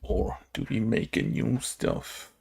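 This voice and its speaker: A man talking casually to himself as he works through code, muttering in a halting, unscripted way, as a game developer recording his screen work. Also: medium pitch, very animated, vocal fry, a little mellow, breathy edge. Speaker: game developer making a tutorial video. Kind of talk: casual thinking aloud while coding. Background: keyboard typing and clicking.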